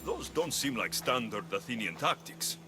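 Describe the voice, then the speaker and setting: A man's voice: medium pitch, very animated, normal speed, over music, close to an everyday voice. A man acting a bold, self-assured Greek mercenary in a video game, wry and probing. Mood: wary. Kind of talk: scripted video game dialogue.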